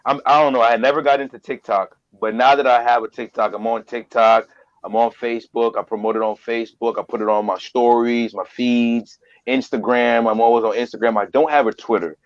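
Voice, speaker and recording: A man's voice: medium pitch, steady tone, a little fast, dull audio. A man guesting on a podcast as a voiceover artist, talking earnestly and repeating phrases for emphasis. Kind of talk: casual interview talk.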